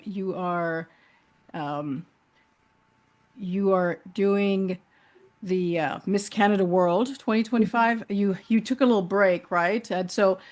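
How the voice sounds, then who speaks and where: Chatty woman talk show host. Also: mid-range voice. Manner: warm-hearted, chatty, with many fillers. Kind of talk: interview hosting.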